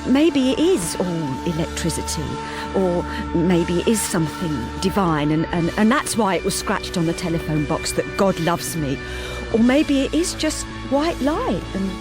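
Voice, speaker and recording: Lonely wife narrator: lowish female voice, intimate and reflective, music underneath, radio play. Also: very animated, unhurried, hardly any pauses. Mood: rapturous. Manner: intimate, reflective, softly hesitant. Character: wistful, lonely invisible wife narrating her life. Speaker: lead character and narrator. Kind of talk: radio drama monologue.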